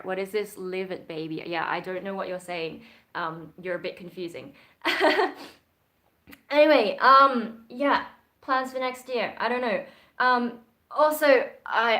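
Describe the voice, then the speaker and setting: Young australian woman, casual livestream chat, answers comments, mid pitch, chatty. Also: a bit bright. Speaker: YouTuber.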